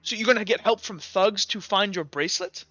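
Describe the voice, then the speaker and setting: A man playing a skeptical, methodical courtroom prosecutor who presses with halting, repeated questions in a tabletop roleplay. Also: high voice, bright, forceful tone, no room sound, dull audio. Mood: accusing, incredulous.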